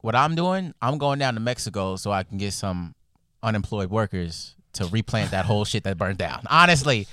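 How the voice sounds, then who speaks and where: A young man riffing in casual podcast banter, his voice swinging widely from low grumbles to high excited jumps. The tone is bright and forceful, and the sound is close and dry with no room around it. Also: moderate pace, no echo. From Puerto Rican and Dominican heritage. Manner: loud, animated joking with sudden jumps in pitch.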